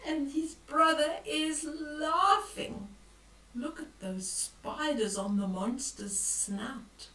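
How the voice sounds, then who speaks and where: Unhurried older woman reading a bedtime story. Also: high voice, slight background noise. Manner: expressive and dramatic, dropping into hushed whispers and exclamations. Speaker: storyteller reading a picture book aloud to children. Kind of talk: bedtime read-aloud with asides on the pictures. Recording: roomy echo, distant from the mic.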